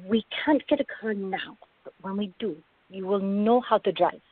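Level-headed young woman played by an actress, slightly rough-edged voice, measured and polite, radio drama, dull recording. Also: medium pitch, no room sound, natural voice. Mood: reasonable, patient. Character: sensible, gently teasing young working woman.

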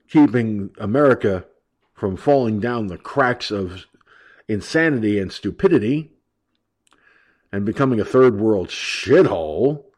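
A man's voice: lowish voice, a little mellow. A man hosting an online talk radio show, ranting brashly and profanely about politics, his voice stuffed up and nasal from allergies.

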